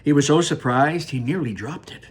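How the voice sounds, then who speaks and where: A man's voice: on the high side, normal speed, slightly rough. A man, a teacher, reading a children's book aloud and then chatting about it in a rambling, informal way. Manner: rambling and informal, full of fillers and restarts. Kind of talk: storybook read-aloud and chat. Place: room in his home without air conditioning.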